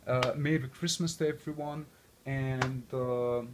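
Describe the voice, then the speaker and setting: A man's voice: medium pitch, steady tone, measured pace, background noise. A young man with a foreign accent casually reviewing a game console, rambling with lots of fillers and restarts. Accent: non-native English accent. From born and raised outside the US. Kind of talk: casual unscripted walkthrough.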